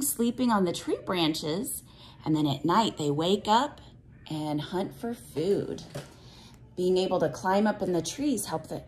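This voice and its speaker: A woman with a fairly high voice reads a picture book aloud for young children. Her delivery is very slow and falls into a sing-song cadence. She explains things in simple, instructive phrases.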